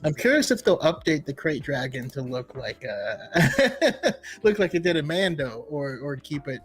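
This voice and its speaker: A man co-hosting a casual gaming chat, talking in an excitable, rambling way. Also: a little high, measured pace, slightly rough. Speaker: podcast co-host. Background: music.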